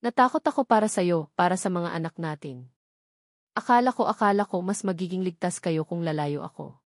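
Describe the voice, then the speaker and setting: A woman voicing an anguished, fearful young wife in an audio drama, halting and repeating her words. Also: lowish voice, no echo, clean studio sound, lightly acted. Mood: tearful. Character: anguished, fearful young wife, a former housemaid, self-sacrificing.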